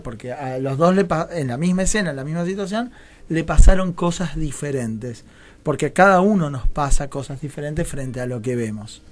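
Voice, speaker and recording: Male, Argentine Rioplatense accent, slightly high register. Reflective interview guest, discursive and conversational, fond of rhetorical questions. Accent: Rioplatense Argentine Spanish. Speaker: guest playwright.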